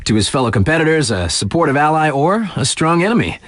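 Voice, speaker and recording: An actor playing a laid-back, hip radio DJ in a video game, covering snowboard competitions with breezy, slangy commentary and chatty, hesitant asides. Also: male voice, fairly high pitch, big pitch swings, quick pace, a bit bright, no echo, studio quality. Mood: upbeat, hyping. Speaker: radio DJ announcer. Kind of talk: scripted radio sports commentary with casual ad-libbed asides.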